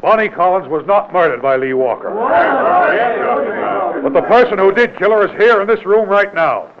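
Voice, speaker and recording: Forceful male investigator, 1940s radio crime drama. Highish pitch, commanding, insistent, tinny old recording. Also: lively intonation, moderate pace, a crowd in the background. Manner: authoritative, brusque, insistent rhetorical questioning. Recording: tinny, band-limited vintage radio recording.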